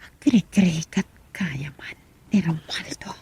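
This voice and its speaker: A woman playing a lovesick schemer in hushed radio drama monologue. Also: lowish voice, measured pace, soft background music. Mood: brooding.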